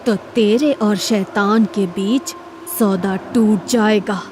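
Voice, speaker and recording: A woman voicing a sinister, commanding old woman in a horror cartoon story, speaking with slow menace. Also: mid-range voice, steady tone, a little mellow, a little hiss or hum, close to an everyday voice. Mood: menacing, commanding.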